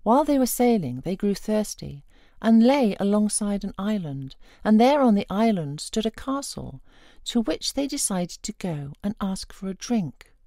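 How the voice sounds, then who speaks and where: A woman reading a fairy tale aloud as an expressive storyteller. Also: mid-range voice, moderate pace, no echo, clean studio sound.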